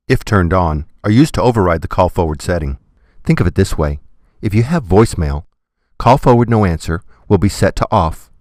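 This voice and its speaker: A man giving scripted, step-by-step software tutorial narration in a methodical, instructional manner. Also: low-pitched, no room sound, clean studio sound.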